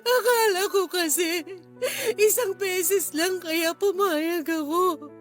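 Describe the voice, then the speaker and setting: Tearful, very high-pitched young Filipina. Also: female voice, takes it slowly. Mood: tearful, ashamed. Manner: tearful, pleading, self-blaming. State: crying. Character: distraught, ashamed young woman confessing abuse to her cousin. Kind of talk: Filipino radio drama acting. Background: background music.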